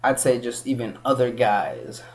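A man's voice: mid-range voice, sing-song, measured pace. A young man from Kenya in casual chat, rambling with many restarts and self-corrections.

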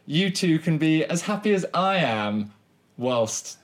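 A man's voice: fairly high pitch, big pitch swings, slow. A man with a Southern English accent co-hosting a podcast in casual chat, joking in a mock-pompous way and rambling with restarts. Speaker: podcast co-host.

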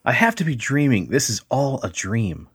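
A man voicing a bewildered, agitated alien abductee in a theatrical, questioning reading, with a husky voice. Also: mid-range voice, very animated, moderate pace, a bit bright, no room sound, studio quality, exaggerated voice. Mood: disbelieving.

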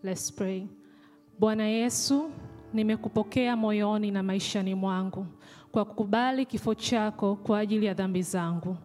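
A woman from Tanzania with a Tanzanian accent, a native Swahili speaker, calmly leading a communion prayer in church. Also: medium pitch, normal speed. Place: large church hall. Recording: church hall reverb with soft music.

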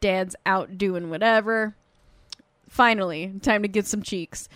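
A woman casually recapping a sitcom episode, animated, swearing freely and acting out the characters' lines. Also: mid-range voice, a little slow, clean tone, no room sound. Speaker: podcast co-host recapping a sitcom. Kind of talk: casual profane chat.

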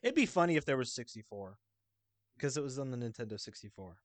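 A man chatting casually as a quiz contestant, thinking aloud in an excitable, hesitant way. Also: medium pitch, fast talker, no echo. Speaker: podcast guest, quiz contestant.

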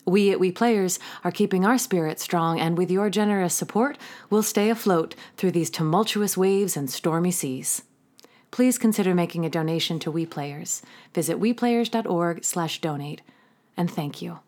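A woman's voice: fairly low pitch, normal speed, darkish tone. A woman narrating scripted closing credits for an audio drama as its presenter.

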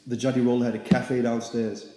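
A man's voice: medium pitch, brisk, a little hiss or hum. A young man from Singapore, an artist, talking about his path in a casual, rambling way with lots of fillers. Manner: relaxed, rambling, many fillers. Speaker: artist guest. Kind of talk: casual interview. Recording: echoey room with long reverb.

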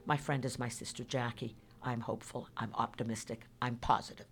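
An older woman being interviewed on a radio show, talking in an animated, emphatic way with a faintly noisy background. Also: extremely low pitch, a little fast, creaky. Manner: animated, emphatic, spiritual-minded. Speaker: guest.

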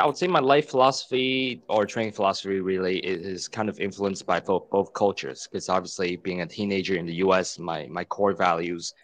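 Friendly man from Hong Kong, low voice. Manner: friendly, chatty, self-deprecating. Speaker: guest, fitness educator. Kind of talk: casual interview chat.

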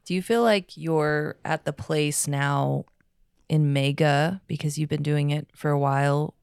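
A woman's voice: low voice, steady tone, unhurried, vocal fry, darkish tone, clear, resonant tone, dry recording, studio quality. A woman interviewing a guest in a conversational, appreciative way. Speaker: podcast interviewer.

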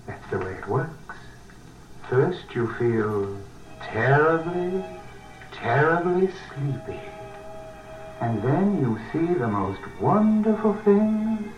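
An actor playing a sinister, smooth-talking uncle who coaxes and insinuates like a hypnotist, in 1940s radio suspense style. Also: male voice, fairly high pitch, big pitch swings, takes it slowly, distant mic, dull audio, faint music behind. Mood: hypnotic coaxing. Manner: coaxing, insinuating. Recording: echoey, noisy old 1940s radio recording.

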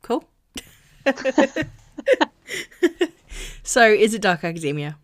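A woman's voice: lowish voice, expressive, moderate pace, a bit bright. A woman co-hosting a book podcast, chatting casually and laughing often. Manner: chatty, laughs often, reads blurbs aloud. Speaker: podcast co-host.

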